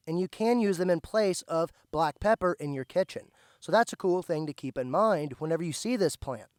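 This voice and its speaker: A slightly hoarse man, patiently explaining step by step as he teaches plant identification outdoors. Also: high-pitched, a little mellow, no room sound, studio quality. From central Indiana, USA. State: slightly hoarse, recovering from lost voice. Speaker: host, foraging teacher. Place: outdoors by a driveway.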